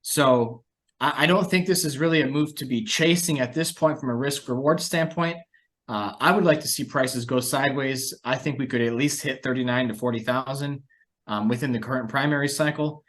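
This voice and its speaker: Low-key man hosting a market podcast. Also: moderate pace, a bit bright. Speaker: host of a market analysis podcast. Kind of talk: conversational financial market commentary.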